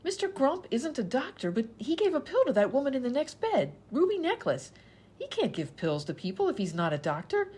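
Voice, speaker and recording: A woman plays an indignant, exasperated female patient in a radio comedy. The voice is high and very animated in pitch, full of pleading protest. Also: a little fast, breathy edge, full character voice. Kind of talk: comedy radio sketch acting.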